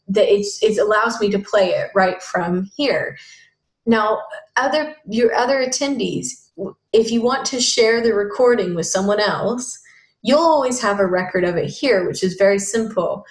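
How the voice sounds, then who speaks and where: A woman giving a casual screen-share software tutorial, talking in a halting way with many fillers and restarts. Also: medium pitch. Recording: roomy echo.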